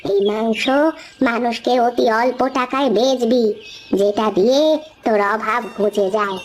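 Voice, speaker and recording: A woman voicing a kindly, motherly old woman with magic powers in a cartoon, who gives gentle advice. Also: a little high, fairly even intonation, a little slow, slight background noise, close to an everyday voice. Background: background music.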